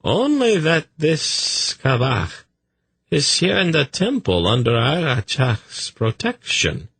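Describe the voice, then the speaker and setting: An unflappable male slaver in a fantasy audiobook, pitched well up from the reader's usual voice. He talks slowly and deferentially, courteous but evasive, in a husky, swooping voice. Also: wildly swooping pitch, a bit bright, no echo, exaggerated voice. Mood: polite, mollifying. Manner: deferential, measured, smoothly placating. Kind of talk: fantasy audiobook character acting.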